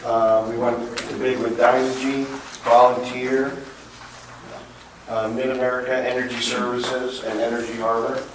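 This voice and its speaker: A man, an energy consultant, presenting at a public council meeting, his voice hoarse and going, with halting ums and uhs. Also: medium pitch, flat delivery. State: losing his voice. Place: council chamber. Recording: echoey chamber, far from mic, noisy.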